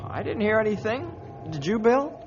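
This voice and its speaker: An American actor playing an eager, impatient young sidekick in a 1950s radio adventure, excitable and plain-spoken. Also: male voice, high voice, moderate pace, noisy background. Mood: doubtful. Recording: muffled old radio recording, busy background.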